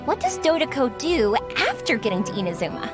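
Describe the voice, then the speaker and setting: An upbeat young woman in a fantasy game, fairly high and lively, encouraging in a big-sister way. Light music plays behind her. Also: a little fast. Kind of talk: game voice acting.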